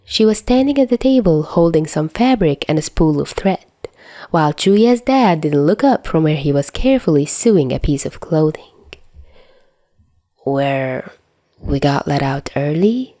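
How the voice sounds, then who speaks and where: Lowish-voiced woman narrating fiction. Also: normal speed, no echo. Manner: expressive reading with acted dialogue. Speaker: podfic narrator. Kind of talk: scripted story narration.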